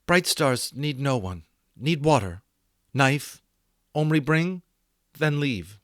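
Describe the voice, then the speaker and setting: A man's voice: medium pitch, expressive, slow pace, no room sound, studio quality, voice pushed up. A man voicing a stoic young woman who speaks in clipped, broken phrases. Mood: firm. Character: stoic young native woman in labor, terse broken speech.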